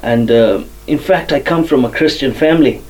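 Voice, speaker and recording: A man from Zimbabwe tells his story as a guest, pausing often and filling gaps with ums. His voice is mellow and dark. He sits a little back from the microphone. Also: medium pitch, recorded from a distance, low-quality recording. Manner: many fillers, soft-spoken. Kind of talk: hesitant personal testimony.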